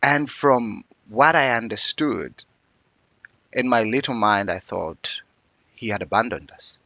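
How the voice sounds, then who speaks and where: A man's voice: lowish voice, slow pace, vocal fry, sharp tone, no echo, dull audio. A man, telling his life story as an interview guest in a reflective, earnest way. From Uganda.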